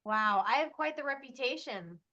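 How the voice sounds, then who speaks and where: A woman's voice: high-pitched, lively intonation, normal speed, bright, forceful tone, highs cut off. A woman moderating an online meeting, speaking in a friendly, casual way. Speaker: session moderator.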